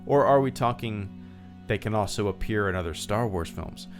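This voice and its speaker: Low-voiced man chatting on a livestream. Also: expressive, moderate pace, soft background music. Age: 30s. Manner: rambling, full of fillers and restarts; reads out viewer thank-yous. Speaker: livestream host. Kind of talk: casual livestream chat.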